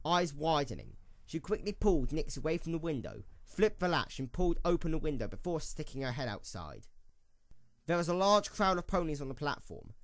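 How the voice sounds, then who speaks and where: A man reading scripted story narration as the narrator of an audio drama. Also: on the high side.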